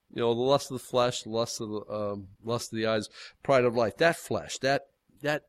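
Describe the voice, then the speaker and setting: Folksy preacher with a big voice. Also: male voice, mid-range voice, a bit bright, no room sound. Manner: folksy, rambling, with frequent fillers and restarts. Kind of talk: informal sermon.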